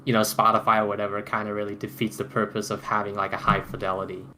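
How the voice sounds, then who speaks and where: A man hosting a casual roundtable chat, halting and often restarting his phrases. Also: on the low side, flat delivery, bright, forceful tone, rough recording. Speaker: podcast host moderating a roundtable. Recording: noticeable background noise.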